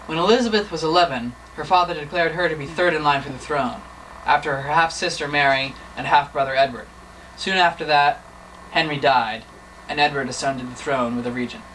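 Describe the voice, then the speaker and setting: A man's voice: a little high, brisk, a bit bright. A teenage boy narrating a mock documentary and interviewing, earnest and mock-serious. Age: teens. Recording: distant mic, noisy room.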